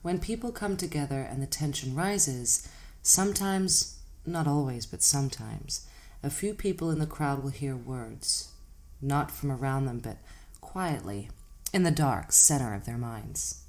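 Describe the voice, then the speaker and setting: An actress playing an enigmatic hitchhiker, calm and knowing, speaking in measured, cryptic phrases in an audio drama. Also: female voice, rumbling low, exaggerated voice. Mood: quietly ominous. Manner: measured, cryptic, grave storytelling. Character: enigmatic woman hitchhiker, calm, knowing, ominous otherworldly collector.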